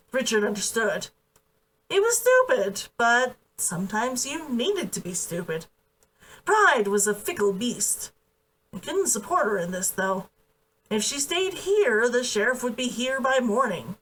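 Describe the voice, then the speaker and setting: A woman giving an expressive read-aloud of a novel as its narrator. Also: fairly high pitch. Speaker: author reading own book aloud. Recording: distant from the mic.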